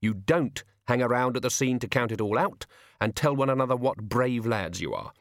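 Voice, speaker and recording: A man's voice: medium pitch, lively intonation, moderate pace, no room sound, studio quality, close to an everyday voice. A man voicing a neat, fussy, careful middle-aged thief with a precise, prim voice.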